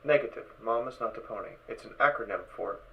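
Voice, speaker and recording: A man voicing a literal-minded spacesuit computer in an audio drama, clipped, formal, mechanical and deadpan. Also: medium pitch, fast talker, gravelly, natural voice. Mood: warning, insistent. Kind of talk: fan audio drama character acting. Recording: dull, distant, noisy sound.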